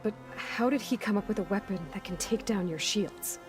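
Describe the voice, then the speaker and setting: A woman's voice: mid-range voice, normal speed, over music, lightly acted. An actress playing a guarded, skeptical young warrior woman in a video game, blunt and questioning in her delivery. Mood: suspicious. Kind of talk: naturalistic video game cutscene acting.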